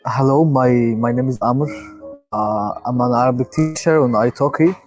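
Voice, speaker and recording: A man's voice: mid-range voice, moderate pace, a little mellow, faint music behind. A man from Egypt who teaches Arabic, speaking plainly in an interview.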